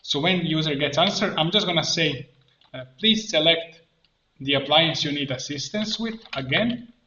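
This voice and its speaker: A man with a bright, slightly high voice talks through a software tutorial. He thinks aloud in halting, step-by-step phrases. The sound is echoey with faint muffling and some noise, with keyboard typing and clicks behind him. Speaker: tutorial presenter and coach. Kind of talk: informal screen-share tutorial, thinking aloud. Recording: roomy echo, muffled band, background noise. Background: keyboard typing and mouse clicks.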